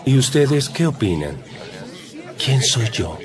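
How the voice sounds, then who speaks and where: A man playing Jesus as a calm, authoritative teacher in an audio Bible drama, instructing deliberately. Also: medium pitch, a crowd in the background. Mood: searching. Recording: loud background music. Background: music.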